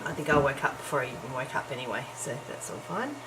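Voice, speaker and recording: Croaky low-voiced woman. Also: expressive, fast. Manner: chatty and self-deprecating, with frequent ums and little laughs. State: croaky morning voice. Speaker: crafting vlogger. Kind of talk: casual chat while crafting. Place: home, early morning. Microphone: camera's built-in mic. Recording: noisy home camera recording.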